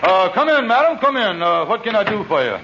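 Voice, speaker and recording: An actor playing a scheming, smooth-talking con man hatching money plans, on a vintage radio comedy. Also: high voice, very animated, bright, forceful tone, full character voice. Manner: fast-talking, wheedling, hesitant uhs. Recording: muffled old radio broadcast, faint hiss.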